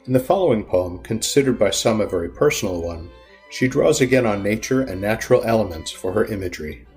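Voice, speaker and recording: A man narrates a scripted literary programme in a fairly low voice. Faint string music plays behind his words. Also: expressive, fairly quick, a little mellow. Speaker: narrator, presenter.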